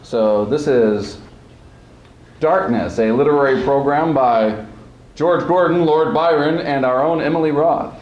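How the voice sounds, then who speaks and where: Halting male host in an echoey room. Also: on the high side, darkish tone, muffled recording. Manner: halting, pausing mid-sentence. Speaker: speech coach and host. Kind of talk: informal spoken introduction of a program. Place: echoey indoor room before an audience. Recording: echoey room, faint noise.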